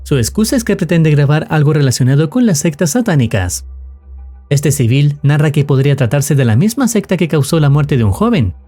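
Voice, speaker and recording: A man's voice: a little high, sing-song, a little fast, mellow, faint music behind. A man delivering formal, dramatic scripted narration that recaps an analog horror story.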